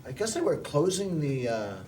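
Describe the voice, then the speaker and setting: A man with a fairly high voice tells a story in a loose, meandering way, restarting his sentences as he goes. He sits some distance from the mic, with a faint hum of room noise behind him. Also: sing-song, normal speed, dark tone. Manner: rambling, with frequent restarts and laughs. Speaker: show host telling a personal story. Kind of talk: casual storytelling chat. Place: small local TV studio.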